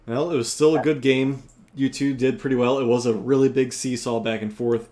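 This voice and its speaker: A male commentator analysing a tabletop match, measured and explanatory. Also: mid-range voice, fairly even intonation, mellow. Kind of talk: post-game discussion.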